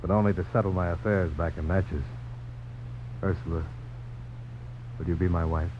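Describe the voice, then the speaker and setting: Steady, courteous adventurer played by a male actor, fairly deep, understated romantic lead, muffled old broadcast. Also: moderate pace, slight background noise, lightly acted. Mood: tender. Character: polite, steady frontier adventurer, a famous knife duelist in love. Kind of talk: 1950s radio drama acting. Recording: dull old radio broadcast recording.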